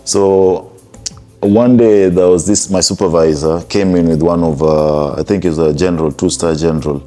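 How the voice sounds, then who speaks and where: Kenyan man, very low and mellow, proud storyteller, faint music behind. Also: steady tone, normal speed. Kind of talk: casual anecdote.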